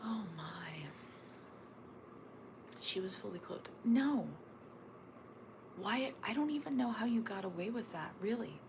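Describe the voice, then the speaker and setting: Hushed, gravelly woman in a morning whisper. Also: mid-range voice, expressive, slow pace, pauses often, background noise. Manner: hushed, half-whispered talk, rambling and joking. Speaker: livestream host reading chat. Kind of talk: casual morning livestream chat. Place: home with others asleep. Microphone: phone or camera on a tripod.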